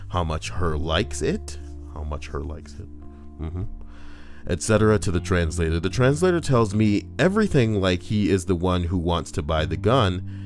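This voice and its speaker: A deep-voiced man on a casual livestream, reading posts aloud and jumping between them with crude jokes. His low voice swings widely in pitch as he reacts, with soft music underneath.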